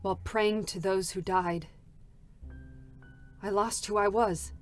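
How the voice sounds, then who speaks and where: An actress playing a bitter, vengeful combat android in an intense, defiant dramatic reading of a game character. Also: female voice, mid-range voice, steady tone, slow, natural voice. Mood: grim, wounded. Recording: music behind the voice. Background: music.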